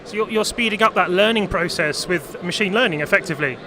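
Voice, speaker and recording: High-voiced man interviewing at an expo. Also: noisy background. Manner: brisk and upbeat, short prompts. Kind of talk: live trade show reporting. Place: busy exhibition stand. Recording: crowded trade show floor.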